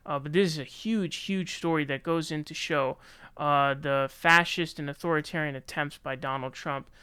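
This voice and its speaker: A man's voice: fairly high pitch, steady tone, moderate pace, bright, forceful tone, clear, resonant tone, no room sound. An American man giving casual, opinionated news commentary in colloquial language, sometimes reading aloud.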